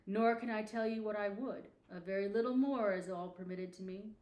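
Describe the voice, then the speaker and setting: A woman's voice: mid-range voice, fairly even intonation, moderate pace, darkish tone, natural voice. A stern, sorrowful spectre of a dead businessman acted out by a woman reader declaims in grave, formal phrases for a storybook. The voice sits in an echoing room. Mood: weary, mournful. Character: mournful, stern ghost of a dead male businessman.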